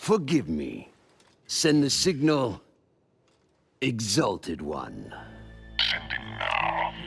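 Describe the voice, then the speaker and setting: A smug goblin commander from a video game, drawing words out very slowly. The pitch swings dramatically and the delivery is theatrical and menacing. Music plays behind him. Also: male voice, huge pitch swings, creaky, a bit bright, slightly rough, exaggerated voice. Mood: formal, restrained. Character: smug sinister goblin commander, adult male. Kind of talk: video game cutscene acting.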